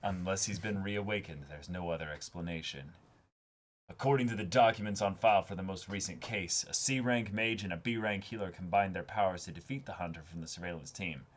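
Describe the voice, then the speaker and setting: A man with a fairly low voice co-hosts a comic read-along. He reads lines aloud with mock drama and drops casual, profane, joking commentary between them. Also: fairly quick. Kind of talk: reading comic dialogue aloud with casual reactions.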